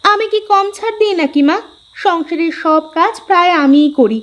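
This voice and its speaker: A woman's voice: very high voice, normal speed, highs cut off. A woman voicing a stubborn, aggrieved young daughter-in-law in a cartoon, complaining and argumentative. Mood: indignant. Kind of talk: cartoon story dubbing.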